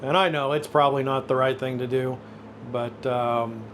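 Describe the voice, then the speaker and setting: A man's voice: medium pitch, steady tone, moderate pace, a bit bright, a little hiss or hum. A man in his fifties talking casually and unscripted as a YouTube host, hesitant and self-effacing. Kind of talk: casual unscripted talk, intro and phone interview.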